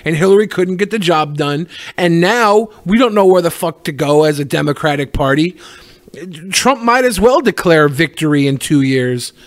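A man's voice: a little high, animated, normal speed. A man co-hosting a podcast with casual political banter, talking loosely and swearing freely. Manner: sweary, loose, mimicking quotes mid-talk. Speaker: podcast co-host.

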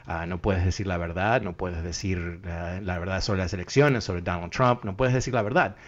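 A man with a fairly low voice hosts a radio talk show and gives earnest commentary. He thinks aloud and fills his pauses with hesitations. The broadcast sounds muffled and lo-fi. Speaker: radio host.

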